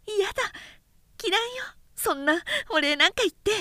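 A woman's voice: extremely high pitch, expressive, moderate pace, pushed and bright, no room sound, studio quality, pitched above the performer's own voice, heavily acted. A woman voicing a devoted, spirited young woman of old Edo in an audiobook, with girlish feminine speech. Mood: bashful. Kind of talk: audiobook character reading.

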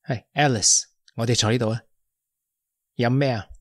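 A man's voice: medium pitch, steady tone, normal speed, no room sound, natural voice. Man as a joking talkative coworker, hong kong cantonese accent, mid voice, dry close sound. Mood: teasing. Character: chatty teasing young man, office worker. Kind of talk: Cantonese radio drama acting.

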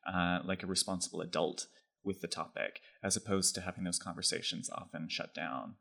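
A deep-voiced man in his thirties, from Texas, speaks thoughtfully and articulately. His delivery is measured and well-spoken, and his pitch sits low throughout. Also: little pitch movement, gravelly, sharp tone. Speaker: podcast guest. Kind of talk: reflective conversation.

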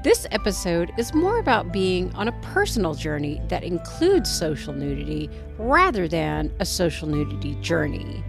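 A woman's voice: on the low side, big pitch swings, normal speed, few pauses, over music. A woman co-hosting a podcast, reading an earnest scripted intro and then chatting casually with a guest. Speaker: podcast co-host.

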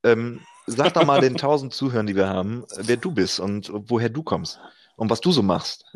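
A man's voice: medium pitch, expressive, normal speed, no echo. A man in his thirties co-hosting a casual podcast chat, joking and self-mocking, laughing often. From Germany. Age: 30s. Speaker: podcast co-host.

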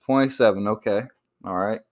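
A man's voice: fairly low pitch, brisk. A man in his late twenties co-hosting a casual, unscripted podcast chat, laughing often and rambling through restarts. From born in Georgia, raised in Virginia. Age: 20s. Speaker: podcast co-host.

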